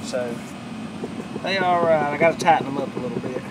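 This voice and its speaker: A man's voice: on the high side, brisk. A middle-aged man, chatting casually and rambling as he shows off upgrades to his boat in a backyard. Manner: rambling, folksy, self-correcting. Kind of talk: casual show-and-tell. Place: backyard outdoors. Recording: outdoor camera audio with loud background noise.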